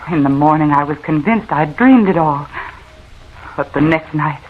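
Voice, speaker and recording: An actress playing a nervous, haunted wife, telling of her ghostly terror in a halting, confessional way, in old-time radio horror style. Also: deep voice, slightly rough. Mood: haunted, hushed. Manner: dramatic, halting, confessional first-person narration. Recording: muffled, noisy old radio recording.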